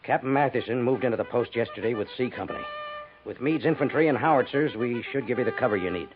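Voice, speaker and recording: Tinny old radio recording, male actor as level-headed American major, quick-paced, firm, authoritative, 1950s drama. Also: mid-range voice, faint music behind, close to an everyday voice. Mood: commanding. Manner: brisk, authoritative.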